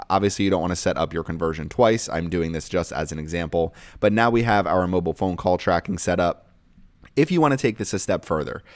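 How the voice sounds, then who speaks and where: A man's voice: deep voice, dry recording, studio quality. A man hosting a casual screen-share tutorial, explaining each step in a plain-spoken, instructional way. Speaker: tutorial host and online course creator.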